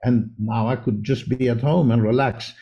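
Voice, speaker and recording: Man, older, dark mellow tone, lecturing, emphatic, interview guest. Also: mid-range voice, fairly even intonation, moderate pace, vocal fry, lo-fi sound. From South Africa. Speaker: podcast guest, homeopath. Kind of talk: conversational interview.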